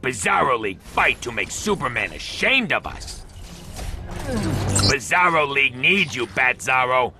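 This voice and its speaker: Casting: dim, earnest cartoon superhero clone, gravelly and swooping, broken caveman grammar, music behind. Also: mid-range voice, sing-song, measured pace. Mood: earnest, determined. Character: backwards-talking superhero clone, slow-witted, earnest, clumsy. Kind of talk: cartoon video game narration and dialogue.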